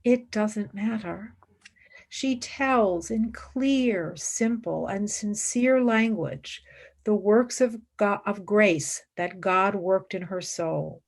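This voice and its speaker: A woman's voice: medium pitch, slow, creaky. An actress playing a fervent, devout woman philosopher and mystic in a radio play, speaking in intense, formal, reverent declamation. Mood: calm, resolute. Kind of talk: radio play acting, monologue and dialogue.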